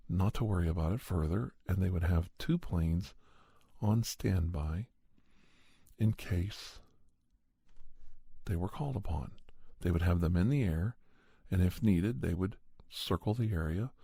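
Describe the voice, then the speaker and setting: A man narrating a first-person horror story in ASMR style, in the measured, halting manner of a scientist recounting events. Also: low voice, vocal fry, dark tone, breathy edge, no echo, clean studio sound. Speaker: ASMR storyteller and podcast host.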